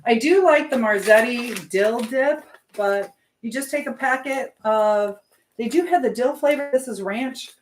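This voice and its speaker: A woman's voice: on the high side, measured pace, slight background noise. A woman chatting casually to viewers on a live stream, rambling along and thinking aloud. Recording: echoey, roomy sound.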